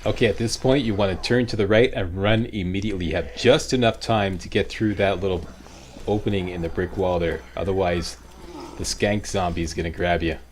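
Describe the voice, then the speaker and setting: A man's voice: deep voice, fairly even intonation, fairly quick, darkish tone, slight background noise. A Canadian man giving informal, instructional commentary over a video game walkthrough. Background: game sound.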